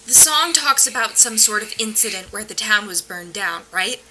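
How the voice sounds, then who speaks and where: A woman's voice: mid-range voice, lively intonation, moderate pace, background noise, close to an everyday voice. A woman playing a skeptical, wry paranormal investigator in a naturalistic audio drama, with a dry, joking manner. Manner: dry, joking, matter-of-fact.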